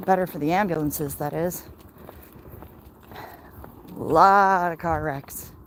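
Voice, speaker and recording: Casting: American woman, casual livestream host, slow rambling delivery, recorded outdoors with clear background noise. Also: low-pitched. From Michigan, United States. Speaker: livestream host chatting with viewers. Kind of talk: casual livestream chat while walking.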